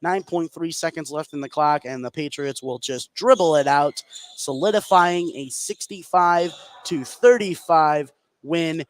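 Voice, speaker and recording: An American man doing live play-by-play basketball commentary for radio, excitable, narrating the action in short running phrases. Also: a little high, measured pace, dry recording. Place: indoor gym at a basketball game. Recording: noisy live sports broadcast.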